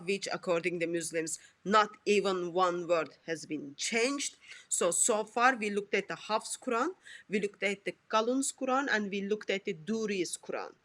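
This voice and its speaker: A woman's voice: medium pitch, normal speed, fairly bright tone. A woman hosting a religious discussion over a video call, asking pointed, repetitive questions. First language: not Arabic. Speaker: host. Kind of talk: presenting a religious debate.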